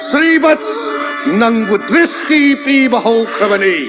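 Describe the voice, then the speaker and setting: A vengeful god of myth, declaims curses in a very high, booming voice. The delivery is theatrical and stretched out, as in an audio drama, with clear music behind it. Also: male voice, animated, rapid-fire. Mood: wrathful, menacing. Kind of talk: Manipuri audio mythological drama acting. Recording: studio radio drama with loud music.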